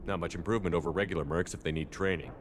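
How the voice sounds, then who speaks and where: An actor playing a tough, controlled military commander in a video game, speaking in a terse, direct way. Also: male voice, low voice, rapid-fire, noisy background, natural voice. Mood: probing, controlled. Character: tough male military commander, curt, questioning.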